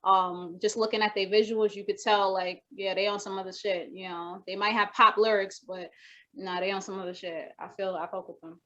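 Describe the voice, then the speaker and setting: Soft-voiced young woman rapper on a video call. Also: mid-range voice, little pitch movement, normal speed. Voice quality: naturally soft voice. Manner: rambling, many restarts and fillers. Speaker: rapper guest. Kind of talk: casual video-call interview. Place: home room with wooden shelves. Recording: dull lo-fi video call audio.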